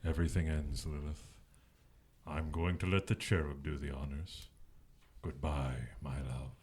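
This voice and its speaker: A man playing a cold, menacing fallen angel in an audio drama, speaking with flat finality. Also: very deep voice, measured pace, slightly rough, full character voice. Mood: cold, dismissive.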